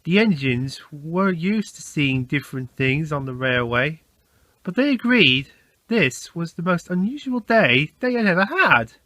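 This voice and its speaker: A man's voice: on the high side, big pitch swings, a little slow, pushed and bright, no room sound. A man reading a scripted children's story as narrator.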